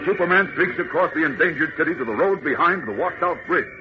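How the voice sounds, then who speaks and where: Male announcer, dramatic serial narration, slightly high, animated pitch, muffled vintage radio recording. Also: fast talker. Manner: dramatic, emphatic delivery. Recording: muffled old radio, faint noise.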